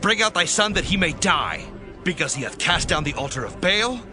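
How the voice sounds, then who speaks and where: A man's voice: high-pitched, sing-song, moderate pace. An actor playing an angry townsman, accusing and demanding, in a dramatized scripture reading. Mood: angry accusation. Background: music.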